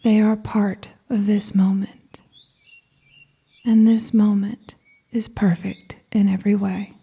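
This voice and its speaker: A woman with a soft, breathy, whispery voice guiding a scripted meditation in a soothing, gentle manner with deliberate pauses. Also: medium pitch, level tone. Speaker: hypnosis and meditation guide.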